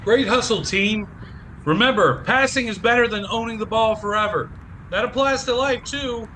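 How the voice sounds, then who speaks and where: A man's voice: high-pitched, normal speed, pushed and bright, a little hiss or hum, low-quality recording. A man playing a wry, stressed soccer-coach father who jokes at his own expense in an audio play. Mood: encouraging. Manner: joking, self-deprecating.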